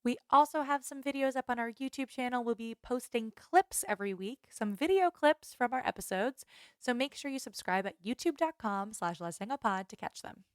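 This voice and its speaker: A woman's voice: a little high, lively intonation, brisk, no echo, studio quality. A woman co-hosting a podcast, talking in a casual, chatty style. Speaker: podcast co-host. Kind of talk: casual podcast chat and sign-off.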